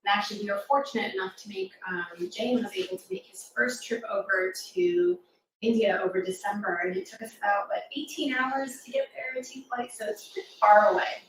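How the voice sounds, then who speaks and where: A woman's voice: mid-range voice, lively intonation, a little fast, distant mic. A middle-aged woman with an American accent gives an informal talk for children in a teacherly manner. She is heard a little way from the mic in an echoey room, with faint noise. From New York City suburbs, United States. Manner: teacherly, checking in with "right?". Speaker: children's author and former teacher.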